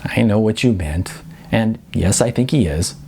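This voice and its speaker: A man's voice: lowish voice, moderate pace, vocal fry, dark tone, slightly rough. A man voicing a timid but teasing young woman, light, girlish and playful, in an audiobook story.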